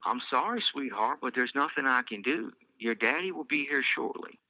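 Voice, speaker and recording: A man voicing a young mother, soft and measured with a stern edge. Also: medium pitch, expressive, no echo, pitched above the performer's own voice, rough recording. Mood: patient.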